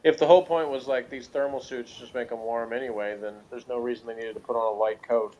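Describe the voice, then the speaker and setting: A man's voice: medium pitch, fairly even intonation, fast, darkish tone, rough recording. Casual guy in rambling review chat. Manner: rambling, jokey, pop-culture asides. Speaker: podcast reviewer.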